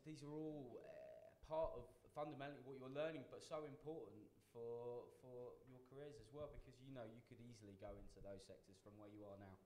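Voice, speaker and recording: A man's voice: medium pitch, a little mellow. A man in his forties, a chef on a panel, talking earnestly to students with many restarts. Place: large function room with audience. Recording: echoey hall, faint room noise.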